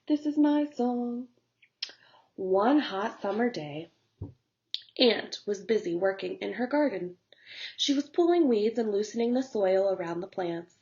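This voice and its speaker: A woman narrating a story for young children as a teacher would, in simple, deliberate phrasing. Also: medium pitch, expressive, darkish tone, muffled recording.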